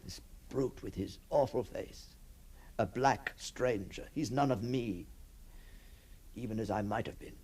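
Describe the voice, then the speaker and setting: An actor playing a haunted, shaken gentleman in a literary radio drama, halting, intense and theatrical. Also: medium pitch, slightly rough. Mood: troubled, brooding.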